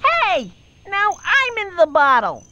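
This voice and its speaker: A man's voice: very high voice, wildly swooping pitch, slow, sharp tone, muffled recording, faint music behind, exaggerated voice. A man voicing a whiny, sorry male fox in a children's cartoon game, plaintive and exclaiming. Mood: whining, dismayed.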